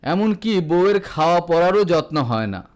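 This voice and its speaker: A man narrating a literary story from a script, with a formal, measured storytelling delivery. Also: high-pitched, big pitch swings, darkish tone. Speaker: audiobook narrator.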